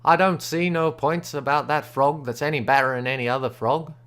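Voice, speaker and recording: A man voicing a sly stranger who speaks slowly and deliberately in backwoods grammar. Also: a little high, steady tone, fairly bright tone, natural voice.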